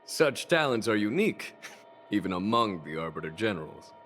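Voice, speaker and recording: A composed, measured general with a lowish voice that still rises and falls expressively. He speaks calmly, like a mentor in a game cutscene. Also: a little hiss or hum. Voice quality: calm, measured. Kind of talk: video game voice acting.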